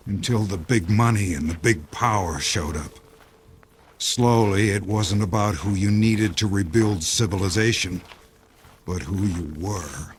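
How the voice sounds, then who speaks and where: A low-pitched man acting in a sci-fi radio drama as a weary insider facing the end. He explains gravely and with resignation, over faint background noise. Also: lightly acted. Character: Jerry, weary male think-tank insider facing doomsday, resigned.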